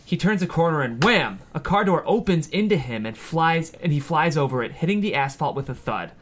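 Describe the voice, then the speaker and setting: A man's voice: a little high, lively intonation, fairly quick. A man narrating the stage directions at a screenplay table read, in scripted narration.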